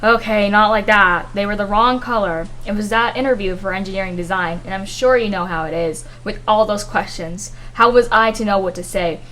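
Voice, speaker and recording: A woman's voice: mid-range voice, brisk, hardly any pauses, fairly bright tone, lightly acted, rough recording. A woman playing a smug, scheming male investor in a radio drama, speaking in a dry, self-assured, formal manner. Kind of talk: 1950s radio drama acting.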